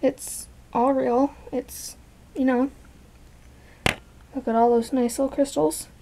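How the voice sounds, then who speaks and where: A young woman, congested from allergies, chatting casually and softly as she shows off her collection, sometimes dropping to a whisper. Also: high-pitched, level tone, slow, noisy background. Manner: chatty, rambling, soft, drops into whispers. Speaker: vlogger. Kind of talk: casual show-and-tell vlog. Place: bedroom. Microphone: handheld camera.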